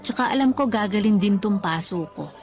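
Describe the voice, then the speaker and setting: A woman playing a forgiving, self-effacing older mother in a radio drama, meek and gently defensive. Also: medium pitch, lively intonation, brisk, faint music behind, lightly acted. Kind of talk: Tagalog radio drama acting.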